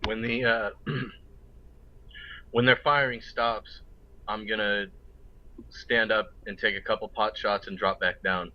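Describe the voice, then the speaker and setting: Male gamer, low voice through a muffled lo-fi mic, casual play talk. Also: fairly even intonation, takes it slowly, long pauses. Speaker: player. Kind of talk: casual game discussion. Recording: dull low-fidelity voice-chat mic.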